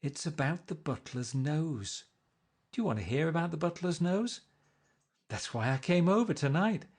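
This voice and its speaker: A gushing young society woman from a storybook, breathless and sing-song, as a male reader puts her on. Her lines lift high and bright with eager emphasis. Suited to a read-aloud novel. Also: lively intonation, moderate pace, slightly rough. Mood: confiding.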